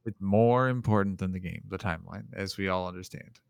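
A man with a fairly low voice talks enthusiastically on a podcast. He rambles and often restarts his sentences. His voice is recorded close and dry. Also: brisk, creaky, clean tone, no echo. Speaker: podcast co-host. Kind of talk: casual chat.

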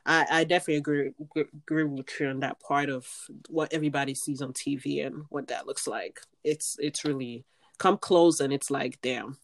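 A woman's voice: low voice, steady tone, a little fast. Chatty woman host, laughs easily. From Nigeria. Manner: chatty, laughs often, thinks aloud in restarts. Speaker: podcast host. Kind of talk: casual interview chat.

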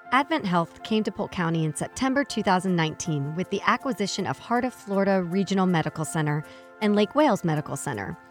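A woman hosting a chamber of commerce podcast, upbeat and polished, reading sponsor copy and interviewing a guest. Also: lowish voice. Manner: upbeat, polished, with friendly affirmations. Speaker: podcast host.

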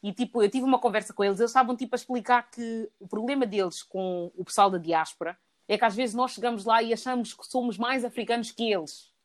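A woman's voice: a little high, quick pace. Earnest young woman talking things through. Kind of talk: casual chat.

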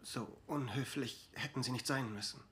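A man's voice: mid-range voice, normal speed, pushed and bright, slight background noise, close to an everyday voice. An actor playing a distressed, bitter young man, blunt and exasperated, in a dubbed TV drama. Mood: wary, hurt. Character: distressed, broke, bitter young man dragged into murder cases.

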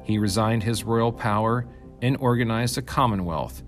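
Low-voiced man narrating a myth. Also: normal speed, faint music behind. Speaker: reader, narrator. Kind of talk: scripted storytelling narration of Greek myth.

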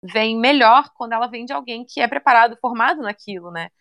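A young woman chatting casually in an interview, relaxed and talkative, often ending phrases with little tag questions. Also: on the high side, fairly quick. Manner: chatty, relaxed, many fillers like "né" and "sabe".

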